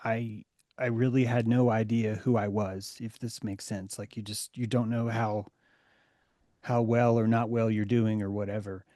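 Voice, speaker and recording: A man hosting a group call, speaking candidly and reflectively with halting restarts. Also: on the low side, level tone, mellow, no echo, studio quality. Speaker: host. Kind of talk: casual chat.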